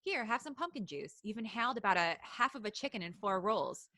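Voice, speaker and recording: A woman's voice: mid-range voice, very animated, a little fast, bright, forceful tone, no room sound. A woman reading as a teasing teenage schoolgirl.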